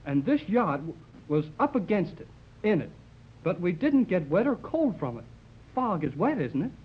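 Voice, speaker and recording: Eager young male radio operator, boyish, husky edge, very animated, insistent, 1930s radio drama. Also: high voice, normal speed, full character voice. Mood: excited, insistent. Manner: breathless, insistent, boyish questioning. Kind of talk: 1930s radio serial acting. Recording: muffled old 1930s radio recording.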